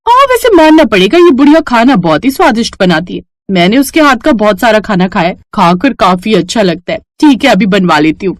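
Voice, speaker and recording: A woman voicing a rude, bossy young daughter-in-law in a cartoon story, loud and scornful. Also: medium pitch, very animated, fairly quick, hardly any pauses, dry recording, clean studio sound, natural voice. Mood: haughty, demanding.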